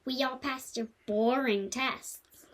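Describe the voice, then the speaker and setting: A woman's voice: high-pitched, fairly even intonation, takes it slowly. A female performer playing a small, suspicious, grumpy orphan girl with a blunt, challenging manner in a family audio drama.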